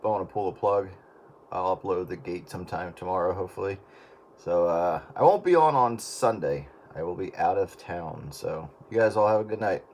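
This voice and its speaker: A man's voice: low voice, moderate pace, darkish tone. A man who operates a radio, chatting casually and informally in loose phrases over the air. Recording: two-way radio with faint noise.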